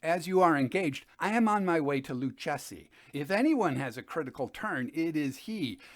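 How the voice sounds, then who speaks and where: A man voicing a vengeful nobleman who feigns friendly concern, flattering and sly, in a storybook reading. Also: a little high, normal speed, lightly acted. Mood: feigned reluctance.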